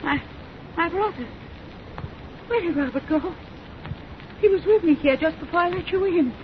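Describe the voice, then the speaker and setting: An actress playing a distraught, overwrought sister in melodramatic old radio horror style. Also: female voice, very high voice, lively intonation, moderate pace. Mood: alarmed disbelief. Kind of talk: 1930s radio horror acting. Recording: muffled, noisy old radio recording.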